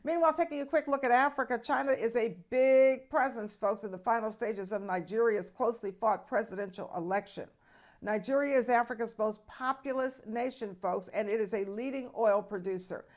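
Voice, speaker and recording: A woman news host with a slightly high voice runs through the headlines. She keeps breaking in with lively, emphatic asides and calls her viewers folks, giving the read a chatty, conversational feel. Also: a little fast. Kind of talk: news commentary.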